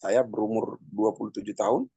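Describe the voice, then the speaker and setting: A man in his fifties giving a personal testimony in a halting, conversational way, with many pauses and fillers. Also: lowish voice, fairly even intonation, dark tone. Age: 50s. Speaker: testimony speaker.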